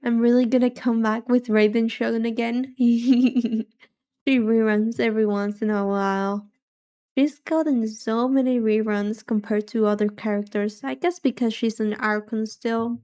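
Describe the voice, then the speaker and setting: A soft-voiced woman livestreamer chatting casually in a relaxed, wry way as she reacts and muses aloud. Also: a little high, unhurried. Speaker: livestreamer, vtuber.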